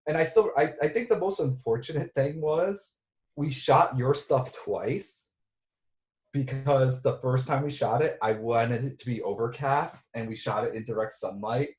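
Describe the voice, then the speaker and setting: A man's voice: medium pitch, a little slow, a little mellow, reverberant. A man, the director of a film, chatting casually at a reunion and rambling with lots of filler words over a video call.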